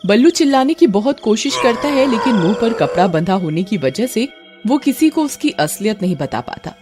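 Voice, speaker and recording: A woman's voice: mid-range voice, sing-song, moderate pace, over music. A woman giving scripted, dramatic narration of a story.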